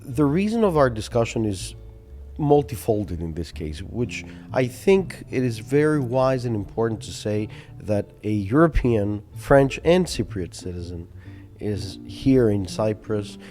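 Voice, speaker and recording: A man in his forties hosting a studio interview, eager and quick to interject. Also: fairly low pitch, sing-song, mellow, faint music behind. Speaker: interview host. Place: studio set with armchairs. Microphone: desk mic on boom arm.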